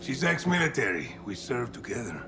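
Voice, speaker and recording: Man acting Mexican army commander, fiery, emphatic, lively swings in pitch, Mexican accent, video game, music underneath. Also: fairly quick, lightly acted. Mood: bitter accusation. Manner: intense, emphatic. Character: fiery, principled Mexican special forces commander. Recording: music behind.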